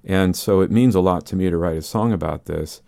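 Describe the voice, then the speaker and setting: An older man with a baritone voice, explaining and telling stories as the guest in a conversational radio interview. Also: a little fast, creaky, a little mellow, no echo, clean studio sound. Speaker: interview guest, space scientist and musician.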